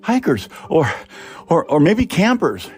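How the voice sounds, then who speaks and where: A man voicing an excitable, frightened young child who stammers and tends to fib, in a short story reading. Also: on the high side, unhurried, soft background music, pitched above the performer's own voice. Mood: anxious, excited. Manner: stammering, breathless delivery.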